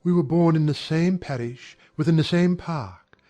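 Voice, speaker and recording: An actor playing an aggrieved young gentleman in a dramatized audiobook, speaking in formal, measured, earnest period language. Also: on the high side, soft background music, lightly acted. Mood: confiding, earnest. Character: young gentleman airing polite, wounded grievances. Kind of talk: dramatized audiobook character acting.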